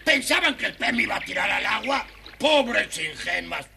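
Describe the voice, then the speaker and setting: An actor voicing a grumpy, greedy, ungrateful little dwarf, whining and scolding in a children's audio storybook. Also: male voice, high voice, big pitch swings, normal speed, vocal fry, sharp tone, full character voice. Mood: indignant scolding. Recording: noisy old children's record.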